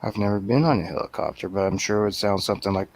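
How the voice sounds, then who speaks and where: A man with a lowish voice speaks as a guest in a film discussion. He debates in a casual way, laying out his reasoning conversationally. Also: fairly even intonation, very fast, heavy background noise. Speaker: movie review panel guest.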